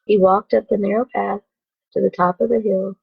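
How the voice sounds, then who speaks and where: A woman teacher reading a children's story aloud on a phone livestream, expressive, with asides to the viewers. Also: mid-range voice, normal speed, vocal fry, breathy edge, dry recording, highs cut off.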